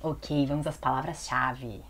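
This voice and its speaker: A woman's voice: very deep voice, fairly even intonation, a little slow, a bit bright. A young Brazilian woman co-hosting a casual comic book review chat with a playful, giggly manner, recorded at home on a lapel mic. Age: young adult. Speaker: co-host. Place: home room with bookshelves.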